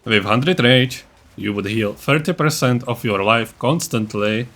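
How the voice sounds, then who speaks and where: A man's voice: mid-range voice, unhurried, fairly bright tone. A man with a mid-range voice narrates a gaming guide. His delivery is informal and matter-of-fact, explaining things like a creator talking to his viewers. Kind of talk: casual explanatory build-guide voice-over.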